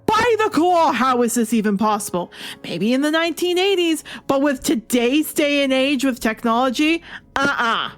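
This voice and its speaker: A woman's voice: high-pitched, animated, normal speed. A woman giving opinionated review commentary in an emphatic, sarcastic, incredulous manner. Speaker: comic book reviewer. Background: music behind the voice.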